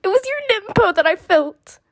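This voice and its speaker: A woman voicing an affectionate, excitable young mutant turtle in a cartoon comic dub, earnest and emotional. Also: extremely high pitch, normal speed, no room sound, clean studio sound. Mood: tearful relief. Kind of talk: fan comic dub acting.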